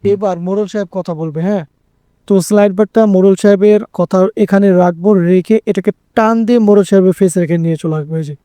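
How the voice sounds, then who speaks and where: High-pitched, mellow Bangladeshi male tutor. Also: fairly even intonation, a little fast, no echo. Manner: repeats phrases for emphasis, checks in with listeners. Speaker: animation tutorial presenter. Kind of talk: informal screen-recorded software tutorial.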